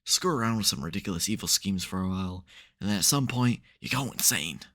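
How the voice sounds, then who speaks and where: A man's voice: sits low, expressive, fast talker, a bit bright. A man playing a smug, theatrical supervillain who secretly cares, in a dramatic, wry, chatty way for an ASMR roleplay. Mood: wry exasperation.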